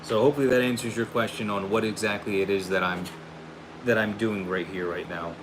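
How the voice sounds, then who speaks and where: A man hosting a casual livestream and pitching his own hair product, upbeat and friendly, saying he is losing his voice. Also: fairly quick. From United States. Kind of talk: casual livestream chat, reading viewer questions. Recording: steady air conditioning hum behind.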